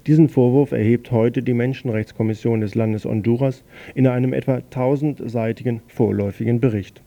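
A man reads a radio news bulletin with a rough, gravelly voice. His tone is very dark and mellow. He delivers it plainly, stumbling and correcting himself now and then. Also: mid-range voice, steady tone, highs cut off. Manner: plain, slightly halting reading with self-corrections. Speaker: news reader on a local radio station.

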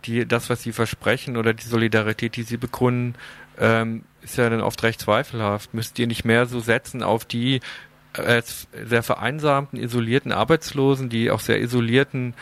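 A man's voice: mid-range voice, flat delivery, normal speed, fairly bright tone. A man hosting an informal live radio interview, with a hesitant, wordy way of putting things.

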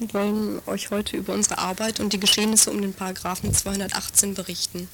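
Woman activist reading a statement, flat even delivery, faint noise. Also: mid-range voice. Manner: even, read-aloud delivery. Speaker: activist group member. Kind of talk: reading a prepared report.

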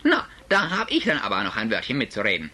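A man's voice: medium pitch, wildly swooping pitch, brisk, sharp tone, pitched above the performer's own voice, full character voice. A man voicing a nervous middle-aged housewife and mother in an audiobook, anxious and placating, covering with forced cheer. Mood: pleading.

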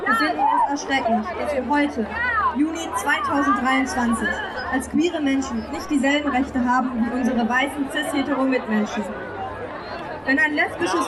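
A young woman, a youth group leader, delivering a prepared political speech at an outdoor rally. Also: high voice. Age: young adult. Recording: distant microphone with loud crowd.

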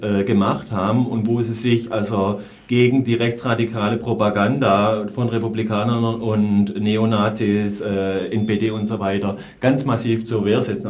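A man's voice: lowish voice, darkish tone. A man speaking spontaneously as an interview guest on politics, earnest and often searching for his words.

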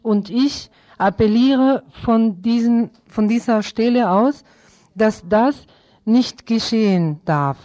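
A woman's voice: a little high, slow, mellow, dry recording. A woman making a public appeal in an emphatic way, repeating her phrases.

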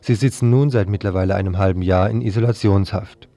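A man's voice: sits low, fairly even intonation, quick pace, mellow, dry recording. A man presenting a scripted news report on the radio.

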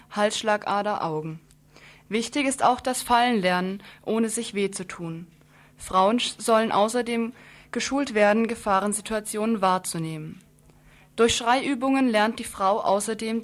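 A woman radio presenter with a fairly high voice reads from a prepared report. Her delivery is earnest, with the odd stumble and restart as she goes. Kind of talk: scripted radio report, read out.

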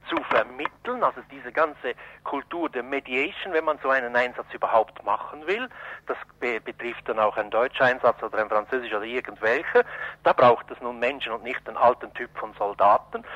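A man giving spontaneous interview commentary, halting and searching for words, on a dull lo-fi recording. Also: medium pitch, pushed and bright, dry recording. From Switzerland. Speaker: interviewee, journalist.

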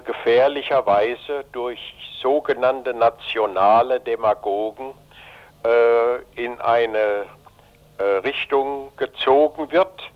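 An elderly man in his eighties from Germany, speaking deliberately and emphatically in a political radio interview, pausing between phrases. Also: mid-range voice. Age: 80s. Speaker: interview guest, retired journalist and anti-fascist activist. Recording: dull, lo-fi radio recording.

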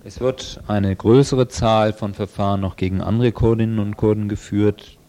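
A man giving a spontaneous legal analysis in a radio interview, speaking haltingly in long, involved sentences. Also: lowish voice, fairly even intonation, dark tone.

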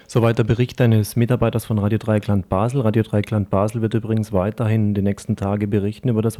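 A man presents radio announcements in a very dark, soft-edged voice. His pitch sits on the low side and moves little, giving an even delivery. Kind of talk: news announcements.

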